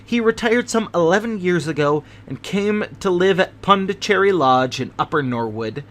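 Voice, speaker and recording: A man voicing a fussy, nervous older gentleman with a prim, precious way of talking. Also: high voice. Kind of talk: audiobook character voice.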